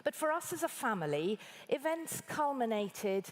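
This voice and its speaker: A woman from Iran, a bishop, delivering a formal prepared keynote address in a measured, articulate way. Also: on the high side, sing-song.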